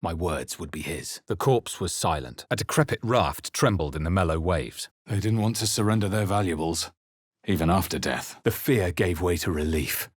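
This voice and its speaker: A wry male adventurer from a fantasy video game. His voice sits on the low side and has a rough, husky grain. He speaks in a measured, dramatic way, brooding and dry, in a very dead studio sound. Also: expressive, dry recording. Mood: brooding, hushed. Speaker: player character voice in a fantasy role-playing video game. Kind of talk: video game voice lines, exploration remarks, spell chants and inward narration.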